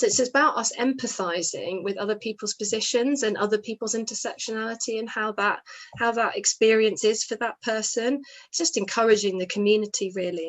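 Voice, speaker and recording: A woman giving voice to a deaf interviewee, speaking in reflective, measured phrases. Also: medium pitch.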